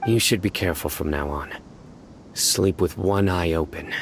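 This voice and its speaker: Casting: grave, guarded game investigator, low-voiced and deadpan, faint noise behind. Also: male voice, moderate pace. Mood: grave, composed. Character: stern, composed male investigator, lone and guarded. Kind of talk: video game voice acting.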